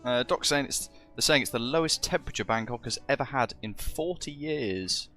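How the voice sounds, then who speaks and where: A British man streaming as a virtual ground controller, chatting casually with viewers and speaking radio calls, his voice a bit husky and chesty from a lingering virus. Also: medium pitch, lively intonation, moderate pace, pushed and bright, faint music behind. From Britain. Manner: chatty, greets viewers as matey. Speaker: streamer acting as virtual air traffic controller. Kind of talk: live stream chat and radio phraseology.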